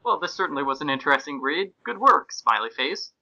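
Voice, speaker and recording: A man's voice: a little high, very animated, brisk, pushed and bright, muffled recording. A man reading fan fiction and reader comments aloud in a casual, comic podcast style.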